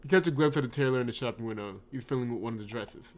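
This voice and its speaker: Excitable male visual novel let's player. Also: medium pitch, rapid-fire. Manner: excitable, exclamatory reactions. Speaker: let's play host. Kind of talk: reading game narration with live reaction commentary.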